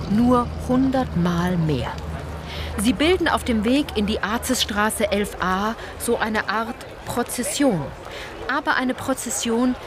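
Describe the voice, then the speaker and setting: A woman's voice: mid-range voice, background noise, close to an everyday voice. An actress playing a sardonic female tour guide in a German radio play, addressing listeners directly with theatrical irony. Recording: music under the voice. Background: music.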